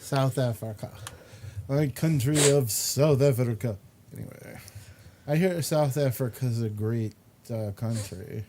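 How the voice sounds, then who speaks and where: A man hosting a talk show in casual chat, with drawn-out, emphatic repetition. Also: medium pitch. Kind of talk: casual chat, commenting on pictures. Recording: clear background noise.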